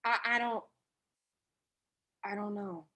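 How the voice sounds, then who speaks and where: A woman's voice: medium pitch, fairly even intonation, bright, forceful tone. A woman reading the part of a brash, foul-mouthed, washed-up male Hollywood agent at a comedy table read. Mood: sheepish. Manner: blunt, profane, halting when cornered.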